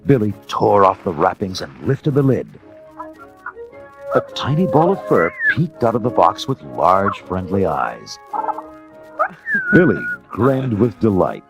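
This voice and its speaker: A male narrator reads a scripted storybook with dramatic, measured delivery. His voice is very low and gravelly, yet moves expressively up and down. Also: big pitch swings. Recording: music under the narration. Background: music.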